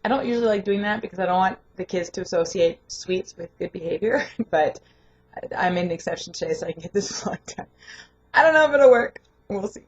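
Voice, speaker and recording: A young woman with a lingering hoarse voice, chatting casually and rambling in a home vlog, laughing often. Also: medium pitch, expressive, fairly quick, highs cut off. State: hoarse, voice not fully recovered. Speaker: vlogger and home daycare provider. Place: her home, indoors.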